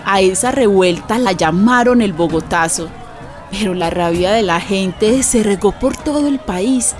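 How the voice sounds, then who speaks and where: A woman's voice: mid-range voice, lively intonation, measured pace, soft background music, natural voice. A woman playing an earthy, impassioned storyteller with a Colombian accent, narrating a radio drama expressively and speaking directly to listeners. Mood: grave.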